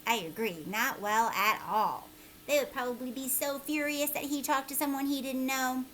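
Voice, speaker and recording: A woman teacher doing a children's read-aloud, expressive and conversational, often putting questions to her listeners. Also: sits high, normal speed, a little hiss or hum, low-quality recording.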